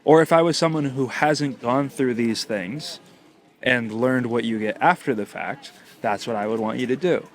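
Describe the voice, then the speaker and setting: A man's voice: medium pitch, normal speed. A man from the United States co-hosting a podcast, talking in long winding sentences with a teasing, thoughtful manner. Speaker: podcast co-host. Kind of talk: casual conversational chat.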